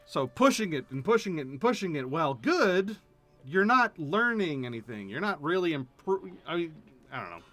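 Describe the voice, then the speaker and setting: A man's voice: fairly high pitch, sing-song, moderate pace, sharp tone, faint music behind. A man chatting casually as a streamer, emphatic and repeating himself to make his point. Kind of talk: casual livestream chat.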